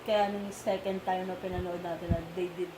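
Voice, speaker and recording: A woman's voice: lowish voice, level tone, a little fast. A woman with a Filipino accent in casual talk about a film, chatty and finishing her partner's sentences. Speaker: movie discussion co-host. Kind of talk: casual Taglish chat. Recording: echoey room with background noise.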